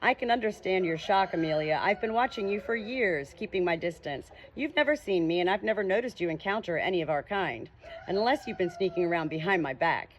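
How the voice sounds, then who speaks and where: A woman's voice: lowish voice, lively intonation, muffled recording, a little hiss or hum, lightly acted. A woman voicing a creepy, smug male ghost in an audiobook, condescending and theatrical, drawing out his words. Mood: smug, taunting. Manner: condescending, drawn-out, dramatic delivery.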